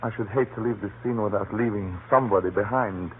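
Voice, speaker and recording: A man's voice: lowish voice, fairly quick, natural voice. A man playing a fearful, hunted little informant, secretive and nervously insistent, in a 1930s radio drama. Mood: resigned dread. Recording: muffled, noisy 1930s radio recording. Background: faint hiss and noise.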